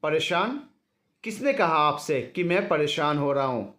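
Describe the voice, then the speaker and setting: A man's voice: a little high, big pitch swings, unhurried, sharp tone, heavily acted. A man voicing a caring, respectful young man who helps his elders in an audio story. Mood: hurt, complaining. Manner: respectful, earnest.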